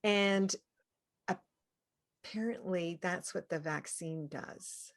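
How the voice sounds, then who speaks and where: Lower-voiced woman interviewer, musing. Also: takes it slowly. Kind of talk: casual spiritual interview conversation.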